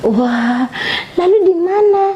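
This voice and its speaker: A woman hosting a children's storytelling show, animated and coaxing, prompting a young storyteller. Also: very high voice, takes it slowly, dull audio. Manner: animated, coaxing, often laughing. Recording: faint background noise.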